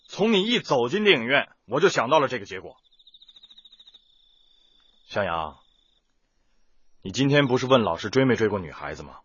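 An actor playing a caring but pushy young male teacher in a radio drama, brisk, blunt and urging. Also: mid-range voice, bright, forceful tone, breathy edge, no echo, highs cut off, heavily acted.

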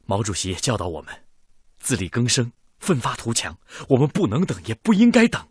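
A man's voice: mid-range voice, huge pitch swings, a little fast, breathy edge, no echo, studio quality, full character voice. A man playing an earnest, patriotic young missile researcher in a radio drama. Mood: earnest, determined. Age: young adult.

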